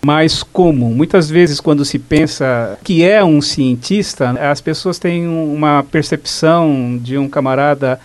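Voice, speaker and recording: Guy hosting a school science show, higher voice, calm teacherly questions. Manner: measured, didactic questioning. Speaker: program host. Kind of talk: educational interview.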